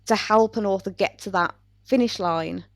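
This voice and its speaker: A woman's voice: medium pitch, normal speed, no room sound, clean studio sound. A woman speaking in an articulate, considered way as a panellist in a casual podcast chat.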